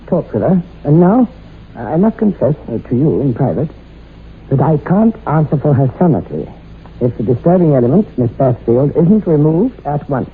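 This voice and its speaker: A formal, authoritative psychiatrist, mid-pitched and expressive. He speaks with urbane gravity in a radio play, through a narrow, phone-like recording with faint hiss. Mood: grave concern. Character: grave, urbane male psychiatrist, insistent. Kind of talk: radio drama acting. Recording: tinny, hissy old radio drama recording.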